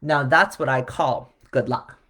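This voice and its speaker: A man voicing a kindly, encouraging grandmother in a children's storybook, gentle and reassuring. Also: mid-range voice, a little slow.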